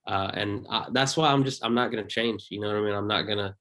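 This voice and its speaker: A man with a Southern accent, a stand-up comedian chatting casually as a guest in a relaxed, rambling way. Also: fairly low pitch, fast. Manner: laid-back, rambling, laughs often. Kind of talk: casual interview chat.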